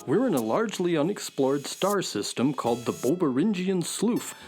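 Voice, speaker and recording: A man's voice: fairly high pitch, sing-song, moderate pace, a little mellow, soft background music. A man playing an earnest, knowledgeable, polite space adventurer in a children's radio drama, speaking precisely and courteously. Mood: earnest, concerned. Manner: precise, courteous, well-spoken.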